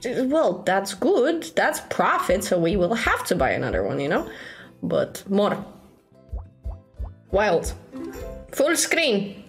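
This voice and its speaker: A woman's voice: medium pitch, big pitch swings, takes it slowly, pauses often, soft background music. A young woman livestreaming a slot game, chatting casually in short repeated phrases and offhand asides. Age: young adult. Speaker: slot streamer.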